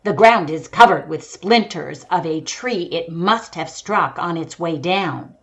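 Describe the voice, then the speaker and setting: A woman's voice: on the low side, animated, measured pace, a bit bright. A woman playing an earnest female radio news reporter in a 1930s-style broadcast drama, describing a scene with dramatic deliberation. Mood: awed. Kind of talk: 1930s radio newscast acting.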